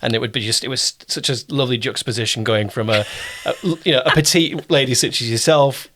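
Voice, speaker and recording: Male interviewer, mid-pitched voice. Casual, talkative producer type who wanders through his questions and readily agrees. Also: fast talker, bright, forceful tone, slight background noise. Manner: chatty, rambling, affirming.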